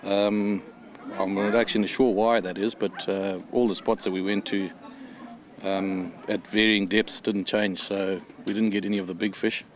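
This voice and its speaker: Man, low pitch, monotone, hesitant casual interview, downbeat. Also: normal speed. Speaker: competitor.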